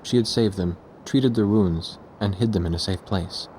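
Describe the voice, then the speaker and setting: Earnest war reporter played by a man, low and sober, measured delivery for military game cutscenes, faint noise behind. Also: darkish tone, close to an everyday voice, poor audio. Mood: reflective, sober. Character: earnest, reflective male war reporter narrating his memories. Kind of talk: scripted game cutscene narration.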